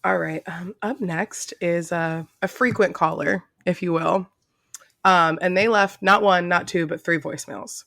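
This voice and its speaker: A woman co-hosts a casual podcast with a bright, forward voice and a noticeable fry. She talks informally and emphatically, close and dry on the mic. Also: lowish voice, fairly even intonation, unhurried, no echo. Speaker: podcast co-host.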